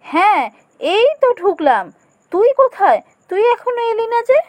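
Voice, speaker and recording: A woman voicing an anxious young female office worker who tells her ghost story in suspenseful, drawn-out phrases. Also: very high voice, very animated, a little mellow, no room sound. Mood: worried. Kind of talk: dramatic first-person horror narration for a cartoon. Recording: music under the voice.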